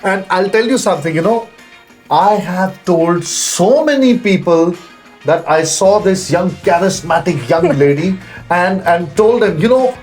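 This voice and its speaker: A man's voice: high voice, lively intonation, measured pace, a little mellow, faint music behind, rough recording. A man hosting an interview, gushing with praise and leaning on "you know" fillers. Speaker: talk show host. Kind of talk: effusive interview chat.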